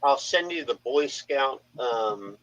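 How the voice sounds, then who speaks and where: An American man on a casual panel, explaining things in a measured, stumbling way. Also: mid-range voice, expressive, fairly bright tone. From United States. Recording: dull lo-fi audio.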